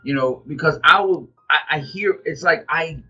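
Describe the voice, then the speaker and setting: A man with an American accent and a husky voice, telling anecdotes in casual, colloquial vlog chat. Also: fairly high pitch, normal speed, pushed and bright. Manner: colloquial, acts out quoted exchanges. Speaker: vlog co-host. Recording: dull lo-fi audio, music underneath.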